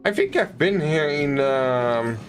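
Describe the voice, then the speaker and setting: A man giving casual, rambling commentary as he streams a video game, in a halting way. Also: a little high, animated. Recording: game music behind the voice.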